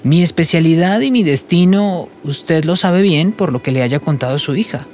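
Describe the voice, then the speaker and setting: A man's voice: fairly high pitch, expressive, normal speed, darkish tone, slight background noise, lightly acted. Man acting an earnest, lighter-voiced young Colombian man. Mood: earnest, confident. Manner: respectful, sincere. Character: polite, earnest, ambitious young male student waiter. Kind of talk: radio soap opera acting.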